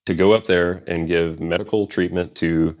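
A man's voice: low voice, fairly even intonation, normal speed. A man telling stories from his Special Forces service in a casual interview, rambling with many fillers and restarts. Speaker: guest, Special Forces veteran.

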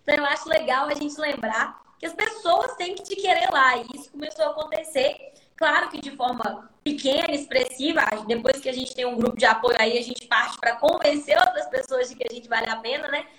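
High-voiced Mineiro woman in an echoey room. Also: fairly quick, a bit bright. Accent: Mineiro Brazilian Portuguese. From Minas Gerais, Brazil. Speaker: guest, politician.

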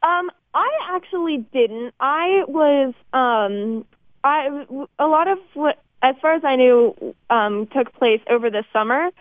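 A teenage girl with a highish voice answers casually as a student guest. She sounds informal and a little hesitant, through a narrow, phone-like connection. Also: expressive, takes it slowly, no echo. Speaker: student actor being interviewed. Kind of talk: casual chat. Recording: tinny phone-like line.

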